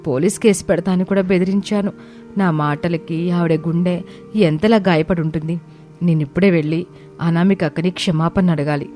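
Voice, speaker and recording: A woman's voice: on the low side, steady tone, moderate pace, warm tone, faint music behind, close to an everyday voice. A woman voicing an emotional, exclamatory and pleading younger sister-in-law in a family story. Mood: guilty anguish.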